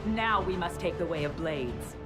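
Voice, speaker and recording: A woman playing a stern, self-righteous fantasy villain in a video game, speaking in a measured, ominous way. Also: lowish voice, animated, music in the background, close to an everyday voice. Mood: solemn, menacing. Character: stern, zealous Qunari female villain, commanding and self-righteous. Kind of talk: dramatic video game acting.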